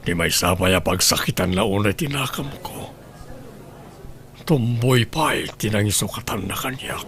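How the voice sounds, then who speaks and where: A jilted lover, voiced by a man in a radio drama, clinging to an old love. His voice sits low and he drags his words out slowly, pleading. A faint hiss of noise runs behind him. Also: lively intonation, fairly bright tone. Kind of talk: audio drama acting.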